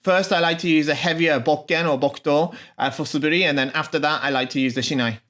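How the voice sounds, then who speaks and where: A man hosting his own channel, translating a video message in an informal, off-the-cuff way, with many pauses, ums and restarts. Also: on the high side, fairly bright tone. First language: not Japanese.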